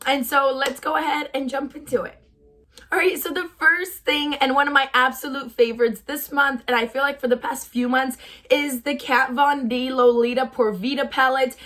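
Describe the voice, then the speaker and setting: A young woman beauty vlogger with a raspy, tired voice, chatting casually about products, gushing and full of "like" fillers. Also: sits high, steady tone, moderate pace, pushed and bright. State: voice tired from shouting. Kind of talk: casual product review chat.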